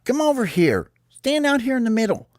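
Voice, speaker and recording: A storyteller voicing excitable young sparrows in a children's story, chatty and full of exclamations. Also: medium pitch, brisk, dry recording, clean studio sound, pitched above the performer's own voice, natural voice. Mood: excited, squeaky. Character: excitable squeaky young sparrows playing a ball game. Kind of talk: animated character voices in children's storytelling.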